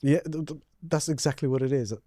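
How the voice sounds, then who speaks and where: A man's voice: on the high side, quick pace, warm tone, no room sound, clean studio sound. A man from Somalia answering a question in casual, hesitant chat. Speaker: interviewee.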